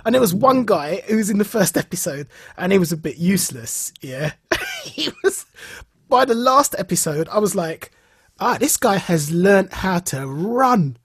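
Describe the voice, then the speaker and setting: A man talks as a podcast co-host in a dry, close sound. His voice has a husky grain. He reviews casually, like a storyteller among friends, repeating phrases to drive them home. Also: high voice, very animated, normal speed, a bit bright, dry recording. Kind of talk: casual chat recounting and reviewing a show.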